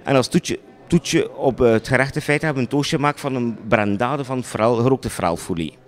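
A man's voice: mid-range voice, fairly quick. A man in his thirties with a Flemish accent, a chef giving spontaneous answers in an interview. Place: indoors in a panelled room. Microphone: handheld reporter microphone.

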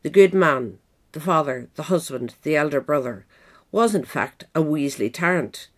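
A woman's voice: sits low, expressive, normal speed. A woman reading scripted nonfiction narration as an audiobook reader. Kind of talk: scripted narration of a political history essay.

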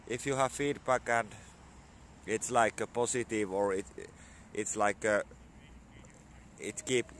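A man in his forties with a Finnish accent, a bit hoarse from a cold, talking in halting English with many fillers into a phone held at arm's length outdoors. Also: lowish voice, slight background noise. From Finland. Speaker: vlogger. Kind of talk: casual outdoor vlog talk. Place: outdoors on a sunny spring morning.

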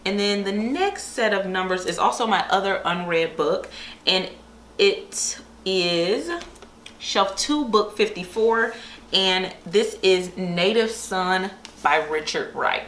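A woman's voice: mid-range voice, slow, fairly bright tone. A young woman chatting casually and enthusiastically to camera about things she likes, in an informal book vlogger's style. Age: young adult. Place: small bare-walled room indoors. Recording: echoey room with faint background hiss.

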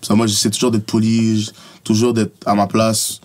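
A man's voice: lowish voice, completely flat delivery, normal speed. A man from Montreal with a Quebec French accent, talking bluntly and emphatically as a rapper guest in a studio podcast, often adding tu comprends and bro. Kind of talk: casual podcast conversation.

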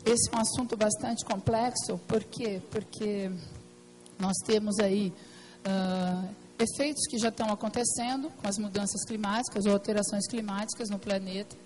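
A woman with a hoarse, partly lost voice, giving a hesitant technical presentation at a council meeting, full of fillers and restarts. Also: mid-range voice, steady tone, moderate pace, a little mellow, faint music behind, low-quality recording. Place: meeting room at a plenary session.

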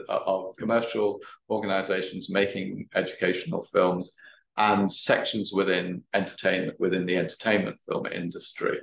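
A man giving an academic talk and answering questions, speaking haltingly and often repeating words. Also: on the low side, creaky, slight background noise. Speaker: guest lecturer. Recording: roomy, echoey sound.

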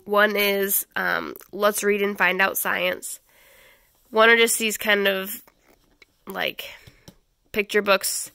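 A woman's voice: medium pitch, flat delivery, takes it slowly, gravelly, long pauses, pushed and bright, clean tone, dry recording, studio quality. A woman in her thirties, stuffy from a head cold, hosting a homeschool channel and casually walking through a stack of children's books, with plenty of ums and restarts. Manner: chatty, many ums and restarts. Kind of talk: casual book review walkthrough. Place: small home schoolroom, indoors.

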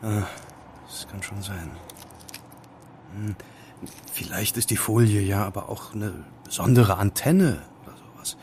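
Man playing a low-voiced, musing young student sleuth. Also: a little slow, long pauses, darkish tone, slight background noise, natural voice. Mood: curious, musing. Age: young adult. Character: male student amateur sleuth, curious, wary, brooding. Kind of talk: German audio drama acting.

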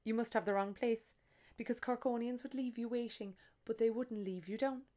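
A woman's voice: a little high, fairly even intonation, normal speed, dark tone, voice pushed up, lightly acted. A woman reading aloud voices a sixty-eight-year-old Cork woman with a dry, teasing, emphatic manner and a Cork accent. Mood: wry teasing. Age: 60s. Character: sharp-tongued old Cork woman, 68, wry.

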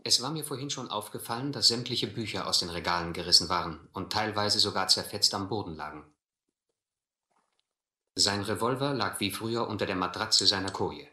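Low-voiced, earnest adventurer, echoing. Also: male voice, sharp tone. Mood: grave, reflective. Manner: earnest, measured, literary. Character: earnest, wary male castaway narrator, educated gentleman adventurer. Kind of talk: scripted first-person narration and dialogue in radio drama.

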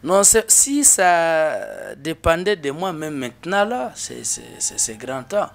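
A man's voice: on the high side, creaky. A man in his thirties with a Congolese French accent, an interview guest in a small studio, speaking earnestly at a desk microphone with many restarts. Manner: earnest, with frequent restarts and hesitations. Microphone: desk microphone on a boom arm.

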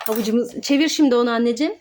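A woman's voice: on the high side, very fast, darkish tone, slight background noise. A woman narrating pretend play for children as host, coaxing and giving simple step-by-step instructions like a mother to her child.

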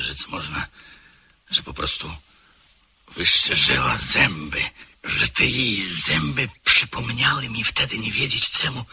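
An actor playing a brooding older man telling his own story in a radio drama, slow and often sinking to a hushed whisper. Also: mid-range voice, slightly rough, heavily acted.

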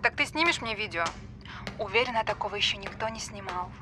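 A woman's voice: medium pitch, lively intonation, brisk, over music, natural voice. A woman acting in an audio drama as a callous, flippant, fame-hungry character on a phone call, mocking and breezy.

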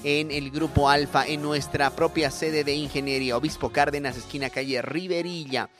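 A man's voice: fairly high pitch, fairly even intonation, normal speed, over music. Bolivian man hosting casual radio chat. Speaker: radio show host.

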